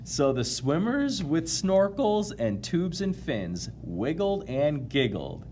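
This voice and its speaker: A man reading a children's picture book aloud in an expressive, playful way, drawing out key words and chatting to his young listeners. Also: on the high side, takes it slowly, a little hiss or hum. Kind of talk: animated read-aloud to children, with asides to listeners.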